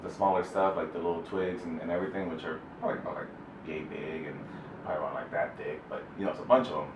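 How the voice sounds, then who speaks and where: A man from El Salvador telling a personal story off the cuff, in a halting, relaxed way with many fillers and restarts. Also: deep voice, noisy background. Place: Houston interview space. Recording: roomy distant mic with faint ambience.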